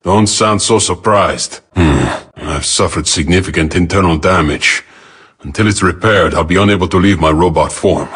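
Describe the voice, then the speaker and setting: An actor playing a cool, proud robot spy in a video game, with clipped, dry, self-assured delivery. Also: male voice, low voice, fairly bright tone, slightly rough.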